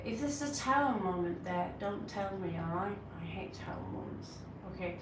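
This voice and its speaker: A woman's voice: medium pitch, animated, moderate pace, distant mic. A woman with a Norwegian accent hosting a tarot livestream, talking casually and bluntly to her chat, with sarcastic asides. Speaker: tarot reader livestream host. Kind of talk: casual live-stream chat answering viewers. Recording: echoey room, mic set back, audible noise.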